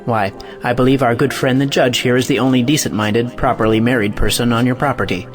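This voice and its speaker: A man's voice: medium pitch, steady tone, a little fast, soft background music, lightly acted. Indignant, declamatory idealist scientist played by a man. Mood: scornful. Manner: declamatory, rhetorical questioning. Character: indignant idealistic scientist, scornful of married people. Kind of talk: audio play acting.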